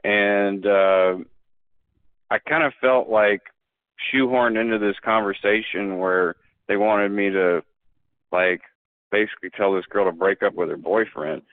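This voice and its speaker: A man's voice: fairly low pitch, fairly even intonation, measured pace, dry recording. A man talking as a podcast guest, earnest and emphatic, often restarting his sentences. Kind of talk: casual conversation. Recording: dry phone-like line.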